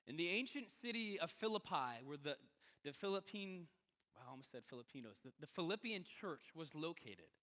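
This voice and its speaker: A male pastor delivers a sermon in a high-pitched, bright and forceful voice. His manner is conversational yet emphatic, leaning on rhetorical questions. The sound carries the long reverb of a big hall. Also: very animated, a little fast.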